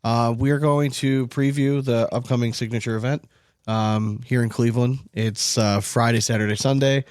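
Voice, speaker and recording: A man's voice: medium pitch, fairly even intonation, moderate pace, a little mellow, clear, resonant tone, dry recording, clean studio sound. An American man co-hosting a podcast in casual, informal, salty-tongued chat. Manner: foul-mouthed, informal. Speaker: podcast host.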